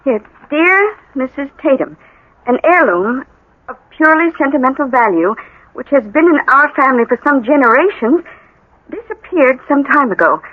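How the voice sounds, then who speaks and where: High-voiced, fluttery housewife. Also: female voice, lively intonation, normal speed. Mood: curious, excited. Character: excitable, trusting small-town housewife. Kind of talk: 1980 radio drama acting. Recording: dull vintage radio broadcast.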